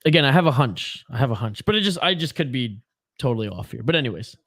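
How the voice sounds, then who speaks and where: A man's voice: medium pitch, expressive, fast, a bit bright, dry recording, studio quality. A young Canadian man hosting a live hockey watch-along, chatting casually, reading viewer comments aloud and swearing freely. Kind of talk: casual live-stream chat.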